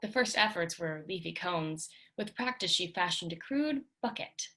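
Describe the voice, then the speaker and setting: A woman's voice: lowish voice, expressive, moderate pace, bright, forceful tone. A woman giving a dramatic reading of literary fiction as scripted narration.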